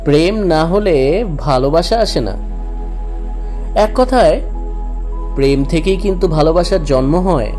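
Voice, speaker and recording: A man plays Romance itself in an audio drama, a cocky lover who loves to argue. His voice is fairly high and very animated, rhetorical and challenging, with music underneath. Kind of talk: scripted audio story dialogue.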